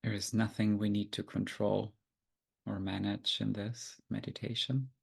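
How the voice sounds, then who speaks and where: A man leads a guided meditation in a lowish, very creaky voice. His intonation stays fairly even as he offers gentle, unhurried instructions, leaving long pauses between them.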